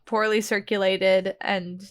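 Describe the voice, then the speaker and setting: Slow-paced woman describing her game character. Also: mid-range voice, flat delivery. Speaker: player in a tabletop roleplaying podcast. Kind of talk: improvised casual description of own character.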